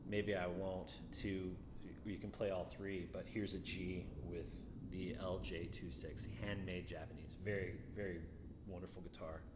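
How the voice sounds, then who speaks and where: A man with a deeper voice giving a casual guitar review, musing and repeating words as he talks. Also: flat delivery, unhurried, reverberant, long reverb tail, very noisy.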